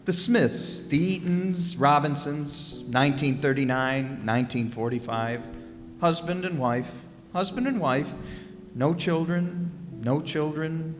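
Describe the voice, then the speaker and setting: A man's voice: a little high, slow pace. A man delivering dramatic scripted narration for a radio play. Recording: echoey hall, music behind.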